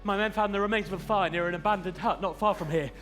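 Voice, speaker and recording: A man's voice: high-pitched, quick pace, over music, natural voice. A man playing a dutiful, deferential royal guard reporting in a stage pantomime. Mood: nervous, dutiful.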